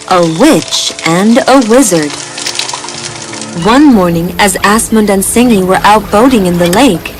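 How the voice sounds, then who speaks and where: A woman's voice: mid-range voice, rough recording. A woman reading a scripted bedtime story as a storyteller. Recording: music behind the voice. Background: background music.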